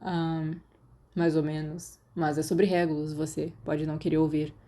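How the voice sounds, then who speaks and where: Woman as a blunt, defensive teenage boy, low-key. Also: little pitch movement, unhurried, mellow. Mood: earnest, hesitant. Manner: blunt, grumbling, hesitant. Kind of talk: audiobook character dialogue.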